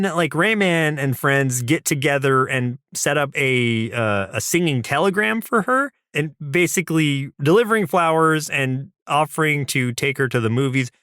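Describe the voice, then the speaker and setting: A man with a lightly high-set voice hosts a podcast. He rambles casually through a recap, slipping into quoted dialogue as he goes. The recording is dry, with no room around him. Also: fairly bright tone, dry recording. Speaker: podcast co-host. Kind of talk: casual chat, episode recap.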